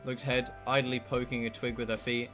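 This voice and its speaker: A man's voice: medium pitch, level tone, normal speed, fairly bright tone, soft background music. A man livestreaming a visual novel, chatting casually and reading dialogue aloud in a rambling way with casual swearing. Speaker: streamer playing a visual novel.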